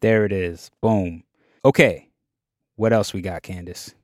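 A man hosting a casual radio podcast, playful and quick with banter. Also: low voice, steady tone, dry recording, studio quality. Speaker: podcast host.

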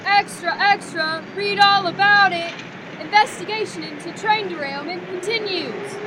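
A woman's voice: very high voice, normal speed. A woman playing an eager young newspaper seller in an old-time radio drama, calling out clipped headlines like a street crier. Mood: urgent hawking. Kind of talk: 1940s radio drama acting. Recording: clear street traffic noise behind.

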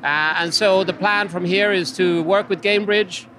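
A man from Finland with a Finnish accent, answering interview questions hesitantly and with many filler sounds, outdoors at a loud racetrack. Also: high-pitched, fairly even intonation, unhurried. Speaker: interview guest, company executive. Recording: noisy outdoor racetrack recording.

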